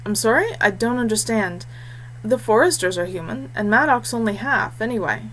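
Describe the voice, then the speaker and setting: A woman's voice: on the high side, animated, moderate pace, fairly bright tone, slight background noise, rough recording. A woman voicing an earnest young male warrior heir, forthright and fiery, in a fantasy audiobook. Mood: puzzled.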